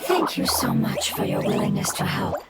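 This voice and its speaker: A woman playing a gentle, polite young female guide in a video game, speaking in a measured, reassuring way. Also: lightly acted. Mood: gentle, grateful. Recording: loud game music, low-quality gameplay capture.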